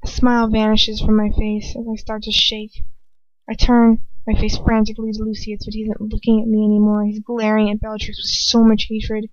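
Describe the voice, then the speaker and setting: A woman reading a story aloud as an amateur narrator, expressive and informal. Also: mid-range voice, a little fast, no room sound. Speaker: amateur audiobook reader. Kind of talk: dramatic fan fiction narration with casual asides. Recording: dull lo-fi home recording.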